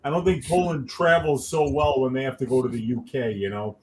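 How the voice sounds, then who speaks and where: A man's voice: medium pitch, steady tone, brisk, crowd noise. Laid-back man chatting fight talk. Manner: laid-back, conversational, chuckling. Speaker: podcast co-host. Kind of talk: casual sports watch-along chat.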